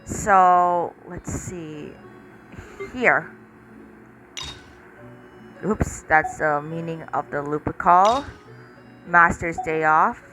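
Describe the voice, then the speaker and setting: A woman giving casual gameplay commentary, halting and thinking aloud. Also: on the low side, sharp tone, soft background music, rough recording. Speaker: gameplay streamer.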